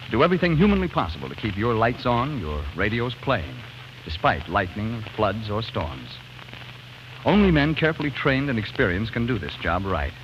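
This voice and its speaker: Animated low-voiced American radio announcer man. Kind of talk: 1940s radio announcing, scripted sponsor narration. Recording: muffled, hissy 1940s radio broadcast.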